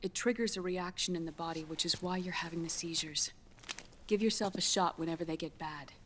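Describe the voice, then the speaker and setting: An actress playing a grave, guarded scientist in a video game, measured and solemn, with a resigned air. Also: female voice, on the low side, a little hiss or hum, natural voice. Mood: grave, clinical. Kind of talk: dramatic video game voice acting. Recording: musical score underneath. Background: dramatic game soundtrack music.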